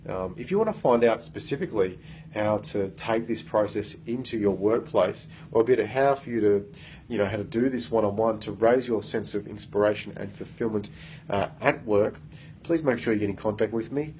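A man's voice: lowish voice, moderate pace, a little mellow, a little hiss or hum. A man in his thirties, a coach, talks earnestly into a phone held at arm's length in an office meeting room, with lots of fillers and restarts. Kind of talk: casual self-recorded vlog.

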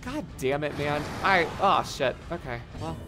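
A game streamer with a voice going hoarse, chatting casually, swearing a lot and reacting loudly to the game. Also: medium pitch, a little slow, over music. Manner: excitable, profane, reacts loudly to gameplay. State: voice getting hoarse. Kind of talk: casual live-stream commentary.

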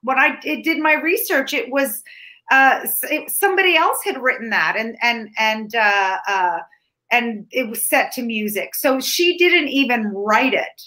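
A bright, fairly high-voiced woman from Canada whose first language is Ukrainian, talking through a film review on a video call from home. She rambles a little, restarting phrases and piling on fillers as she goes. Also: a little slow. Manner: talkative, many restarts and fillers. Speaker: film critic. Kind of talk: casual film review chat. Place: home room.

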